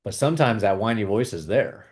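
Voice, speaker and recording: A man hosting a video, calmly guiding viewers through a tapping exercise with repeated phrases. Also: lowish voice, a little fast. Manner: calm, repetitive, coaching tone. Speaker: host leading a tapping exercise. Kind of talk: guided tapping exercise and money talk.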